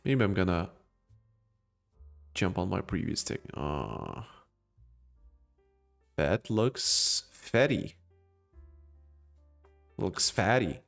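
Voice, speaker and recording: A man's voice: low-pitched, animated, extremely slow, vocal fry, long pauses, soft background music. A man with a non-native English accent hosting a casual crypto live stream, joking and rambling as he answers chat. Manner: rambling, joking, with laughs and restarts.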